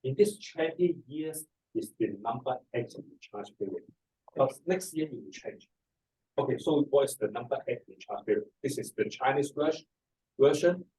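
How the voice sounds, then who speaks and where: A middle-aged or older man with a Hong Kong accent, speaking haltingly and repeating words as he presents an informal seminar. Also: mid-range voice, animated, dark tone. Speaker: feng shui master, seminar speaker. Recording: distant microphone in a room.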